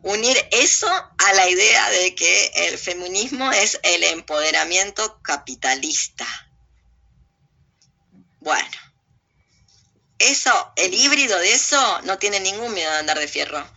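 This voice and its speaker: A woman with an Argentine accent speaks in a very sharp, forceful voice with plenty of gravelly fry. She argues bluntly and emphatically in loose interview talk, peppered with coarse slang. The audio is dull and lo-fi. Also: mid-range voice, expressive, a little slow. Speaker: interview guest. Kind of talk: opinionated, informal interview talk.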